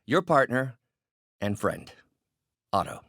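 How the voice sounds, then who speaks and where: Earnest older scientist, animated pitch. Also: male voice, no room sound, clean studio sound, heavily acted. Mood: warm, grateful. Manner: articulate, formal, halting. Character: earnest, self-deprecating older male scientist and mentor. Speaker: voice actor. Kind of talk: video game voice acting.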